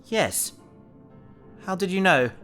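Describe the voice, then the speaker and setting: A man's voice: high voice, expressive, slow pace, fairly bright tone, exaggerated voice. A man playing an earnest, dramatic young human who is turning part alien, hesitant and polite, in an audio drama. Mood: subdued, sorry. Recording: faint music behind the voice.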